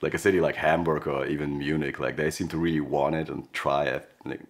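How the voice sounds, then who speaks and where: Very deep-voiced man, wry chat. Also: fairly even intonation, fairly quick, slight background noise. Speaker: stand-up comedian. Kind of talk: documentary interview.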